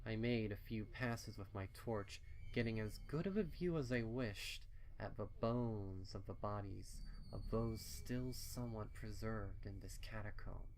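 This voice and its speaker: A man narrates a horror tale in a solemn, dramatic way. His voice is fairly low and very slow, with clear ambient noise behind it. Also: darkish tone, low-quality recording. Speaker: fan fiction reader. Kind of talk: scripted dark horror narration, first person.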